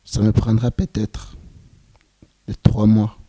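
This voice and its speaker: A Rwandan man in his twenties giving solemn, measured testimony as a genocide survivor. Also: fairly low pitch, monotone, dark tone, dry recording. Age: 20s. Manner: slow, grave, measured phrasing.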